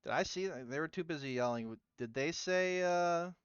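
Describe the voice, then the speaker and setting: A man casting a video game tournament in a relaxed, chatty way, with lots of fillers. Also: a little high, normal speed, smooth, no room sound, highs cut off. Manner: chatty, laid-back, filler-heavy. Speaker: tournament caster. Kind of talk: casual game commentary.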